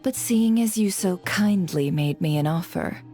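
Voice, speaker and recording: A woman's voice: lowish voice, measured pace, mellow, soft background music, lightly acted. A woman playing a shrewd, coolly polite intelligence broker in a video game, composed and coy. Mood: coy, sly. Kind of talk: video game character dubbing.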